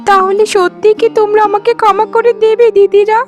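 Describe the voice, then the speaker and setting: A woman as a high-pitched, wailing sickly young wife. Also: little pitch movement, fairly quick, music in the background. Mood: remorseful. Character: sickly, bitter, stubborn youngest wife, later remorseful. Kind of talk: melodramatic cartoon voice acting.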